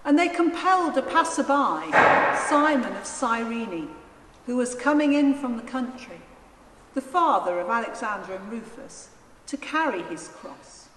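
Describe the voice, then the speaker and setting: Female Gospel narrator: measured, highish voice, widely varied pitch, echoing church. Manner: measured, deliberate. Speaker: scripture reader, narrator. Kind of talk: solemn dramatised Gospel narration. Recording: echoey church hall, faint noise.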